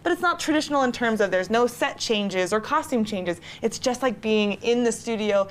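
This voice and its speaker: Woman guest chatting in a studio, kinda high voice, keen and explaining stuff. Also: expressive, moderate pace, a little hiss or hum. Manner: enthusiastic. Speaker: interview guest. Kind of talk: casual explanatory interview talk.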